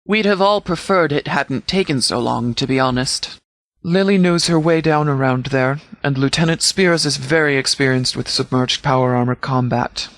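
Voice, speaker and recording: A man playing a composed, pragmatic military commander in a video game, speaking in a formal, measured, tactical way. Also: medium pitch, fairly bright tone, dry recording, lightly acted. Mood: composed, authoritative. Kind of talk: video game dialogue acting.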